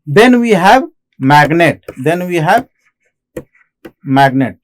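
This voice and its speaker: High-pitched Indian man giving a lecture. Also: big pitch swings. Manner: repetitive, explanatory, halting phrasing. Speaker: engineering lecturer. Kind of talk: classroom lecture on technical material.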